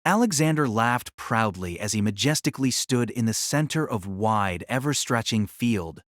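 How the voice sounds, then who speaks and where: A man's voice: mid-range voice, animated, moderate pace, no room sound, studio quality. A man narrating a fantasy story in a dramatic, theatrical, scripted style.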